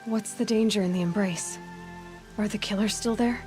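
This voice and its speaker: An actress playing a blunt, determined young outcast huntress in a video game, speaking in a direct, terse way. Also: female voice, medium pitch, fairly even intonation, moderate pace, a little mellow, soft background music, lightly acted. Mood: firm, questioning. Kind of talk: video game cutscene acting.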